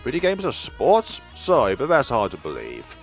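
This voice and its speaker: A man's voice: mid-range voice, wildly swooping pitch, moderate pace, soft background music. A man voicing an earnest, competitive young woman in a visual novel who is easily flustered. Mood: skeptical.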